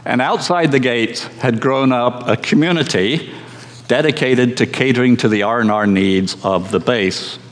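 A man from Scotland, preaching a sermon as pastor in a measured, conversational way with dry humor. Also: medium pitch, big-room sound. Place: church.

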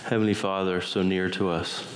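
Deep-voiced man leading prayer. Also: flat delivery, noisy background. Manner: slow, phrase-by-phrase, reverent. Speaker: worship leader. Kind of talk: spoken prayer. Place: church sanctuary.

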